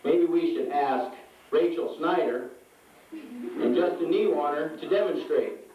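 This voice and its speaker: A man's voice: on the high side, unhurried, lightly acted. A man playing a friendly firefighter puppet who talks with children in a puppet show. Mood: friendly, cheerful. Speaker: puppet character in a children's fire safety show. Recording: echoey, muffled hall, mic far away.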